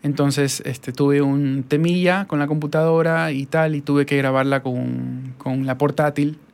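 A man's voice: on the high side, fairly even intonation, measured pace, gravelly, a little mellow, clear, resonant tone. A man from Ecuador with a Guayaquil accent, hosting a casual interview podcast from his recording studio and chatting enthusiastically with fillers. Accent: Guayaquil Spanish. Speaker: podcast host and voice-over artist. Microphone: portable recorder.

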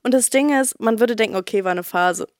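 A woman's voice: a little high, fairly quick, no room sound, studio quality. A young woman hosting a casual podcast chat from her bed, teasing and playful, slightly under the weather. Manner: teasing, playful, mixes in English slang. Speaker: podcast host. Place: her bedroom, sitting in bed.

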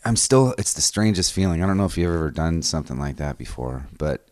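A man's voice: low-pitched, expressive, a little fast, darkish tone, no echo. A man hosting a podcast, talking in a rambling, casual way, often saying "man" and "dude" and swearing, recorded in a photo studio. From Washington State. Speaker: podcast host. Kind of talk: casual interview chat.

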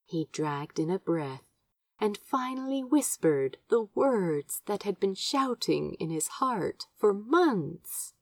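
A woman's voice: mid-range voice, big pitch swings, slow, no room sound. A woman reading fiction aloud as an amateur audiobook narrator, with tender, dramatic storytelling. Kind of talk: expressive fan fiction narration.

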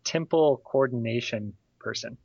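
A man's voice: medium pitch, unhurried, gravelly, darkish tone, breathy edge, no room sound. Chatty man, dry muffled sound. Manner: chatty storyteller, laughs mid-sentence. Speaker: podcast co-host asking questions. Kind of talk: casual chat.

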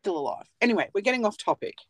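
A woman's voice: medium pitch, lively intonation, rapid-fire, dry recording. A woman in her late thirties co-hosting a casual podcast from a small cupboard at home, joking and laughing at herself. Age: 30s. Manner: joking, self-mocking, laughs often. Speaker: podcast co-host, nail technician. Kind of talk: casual chat.

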